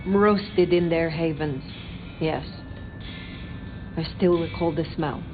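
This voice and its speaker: A woman's voice: low-pitched, takes it slowly, phone-quality sound. A woman playing a proud, worldly ancient vampire in video game dialogue, composed, formal and aloof. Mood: wry reminiscence. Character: proud, worldly, imperious ancient female vampire, cool and commanding. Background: clear background music.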